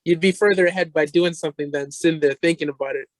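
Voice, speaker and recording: Higher-voiced male co-host, dry sound. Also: steady tone, no echo. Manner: agreeable, quick affirmations.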